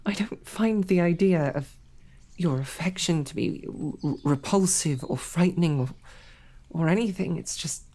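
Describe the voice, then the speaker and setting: A woman playing a snarky, devoted female knight, stammering and flustered, often dropping to soft whispers. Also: on the low side, moderate pace. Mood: earnest. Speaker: audio drama performer. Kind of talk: romantic audio roleplay.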